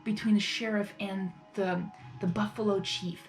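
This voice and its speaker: A woman's voice: medium pitch, fairly even intonation, normal speed, soft background music. Effusive, rambling woman reacting casually. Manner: effusive, rambling, repeats words and phrases. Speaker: reaction video host. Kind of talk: casual live reaction commentary.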